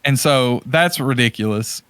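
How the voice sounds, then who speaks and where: A male podcast co-host speaks in an average-pitched voice, close and free of room sound. His talk is casual and opinionated. He is blunt and informal, with a tongue-in-cheek streak. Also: a little slow, fairly bright tone, smooth, no room sound.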